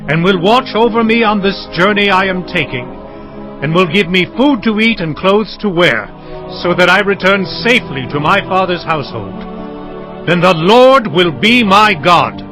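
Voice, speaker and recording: An actor playing an earnest, emphatic young traveller and suitor in a dramatized audio Bible. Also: male voice, high voice, lively intonation, normal speed, over music, low-quality recording. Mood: solemn, devout.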